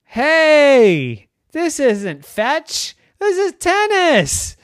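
High squeaky talking pup, storyteller putting it on, eager and a bit whiny, bedtime story, lively voice. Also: a little slow, no echo, studio quality. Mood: exasperated. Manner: high, excited, whiny when upset. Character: eager talking tennis-playing puppy.

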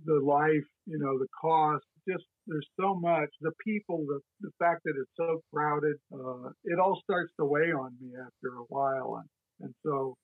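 A man who is a podcast guest, talking casually in a measured way and repeating words as he gathers his thoughts. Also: fairly high pitch, dry recording. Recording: thin phone-like audio.

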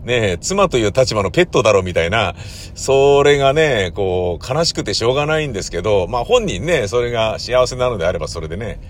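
A man hosting a casual solo podcast, talking in halting, drawn-out phrases that keep checking for agreement. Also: mid-range voice, very animated, slight background noise. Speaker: host of a regular podcast, radio drama director.

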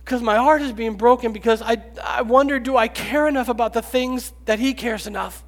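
A man in his fifties preaching a sermon, rising to loud pleading and dropping to hushed whispers. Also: very high voice, brisk. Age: 50s. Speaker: pastor. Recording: long reverb, echoey hall.

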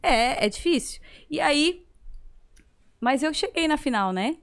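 Casting: woman, higher-pitched voice, Santa Catarina accent, casual anecdotal chat with bits of re-enacted dialogue. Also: animated, measured pace. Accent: southern Brazilian, Santa Catarina. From southern Brazil. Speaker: guest, singer. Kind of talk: casual conversational storytelling.

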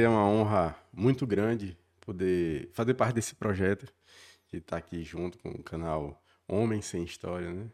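A man in his forties with a Bahian accent speaks as a podcast guest. His voice sits on the low side, and he tells his story earnestly, often tagging his sentences with little questions. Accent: Bahian Brazilian Portuguese. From Bahia, Brazil. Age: 40s. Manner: warm and earnest, frequent tag questions. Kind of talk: casual interview storytelling.

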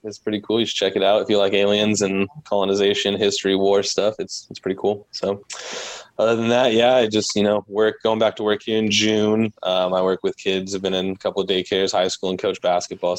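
Low-voiced young American man, dry close sound. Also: no room sound. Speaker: podcast guest, podcast founder. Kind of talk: casual chat.

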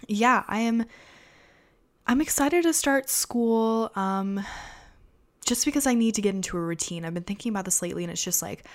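A young woman hosting a casual solo podcast, her voice raspy from a sore throat, chatting in a rambling way full of fillers. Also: on the low side. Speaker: podcast host.

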